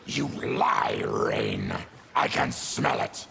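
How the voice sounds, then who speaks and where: A proud, bitter mutant warrior from a fighting game. The voice is a heavily gravelled snarl, sharp and forceful, swinging widely in pitch. Every line is deliberate and threatening, with faint noise behind it. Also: male voice, huge pitch swings, heavily acted. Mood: accusing. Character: savage diseased mutant warrior, proud bitter former soldier. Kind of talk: video game fighting character dialogue.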